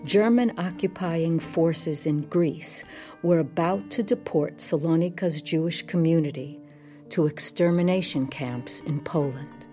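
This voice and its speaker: A woman hosting a podcast, reading a scripted narration in a measured way. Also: sits low, warm tone, soft background music. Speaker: podcast host.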